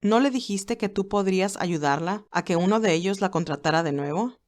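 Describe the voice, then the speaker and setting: A woman's voice: mid-range voice, lively intonation, fairly quick, darkish tone, lightly acted. Woman reading a composed, loyal male assistant. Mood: attentive, composed.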